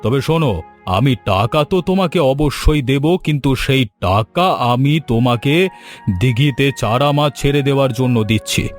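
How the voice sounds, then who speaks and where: A man voicing a calm, kind middle-aged male fish trader in a cartoon, speaking in a measured, reassuring way. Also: medium pitch, faint music behind, voice pushed down, natural voice. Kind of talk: cartoon voice acting.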